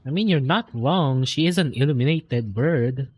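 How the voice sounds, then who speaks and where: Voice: medium pitch, animated, normal speed, darkish tone, husky. A streamer with a Filipino accent, reacting and musing aloud in casual game commentary that switches between two languages. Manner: informal, reactive, muses aloud. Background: game music.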